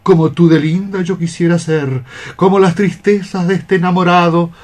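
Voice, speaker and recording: A man's voice: high-pitched, steady tone, moderate pace, a little mellow, natural voice. A man playing a young lovesick cockroach, declaiming lyrical verse in a radio play. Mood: lovesick melancholy. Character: young lovesick male cockroach poet, melancholy and tender. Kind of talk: poetic radio theatre acting.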